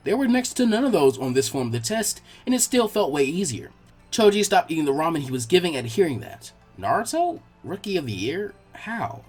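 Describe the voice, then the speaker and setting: A man reading fan fiction aloud as an expressive scripted narration. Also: a little high, quick pace, soft background music.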